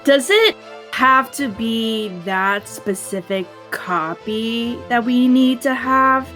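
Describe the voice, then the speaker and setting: A woman's voice: on the high side, animated, slow pace. A woman chatting casually as a player at a tabletop game. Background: soft music.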